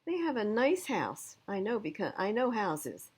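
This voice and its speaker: A woman's voice: medium pitch, big pitch swings, unhurried, darkish tone, husky, pitched above the performer's own voice. A woman voicing a wry, thoughtful rescue dog in a storybook, with an emphatic, plain-spoken delivery. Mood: resigned, wry.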